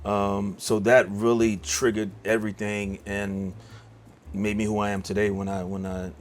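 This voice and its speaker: A man's voice: on the low side, level tone, moderate pace, slight background noise. A middle-aged man, a songwriter talking casually in an interview with many fillers and restarts. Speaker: songwriter guest.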